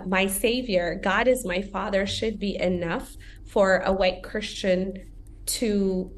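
A woman's voice: medium pitch, vocal fry, a little hiss or hum. A young woman from Ethiopia speaking as a podcast guest, reflective and conversational, filling her talk with "you know" and "like". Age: young adult. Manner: reflective, uses many fillers like "you know" and "like".

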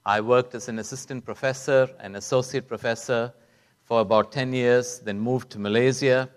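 A man's voice: medium pitch, clear, resonant tone. A middle-aged man, a doctor telling his own story as a guest speaker on a conference stage. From Kuwait and South India. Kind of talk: public talk, personal storytelling.

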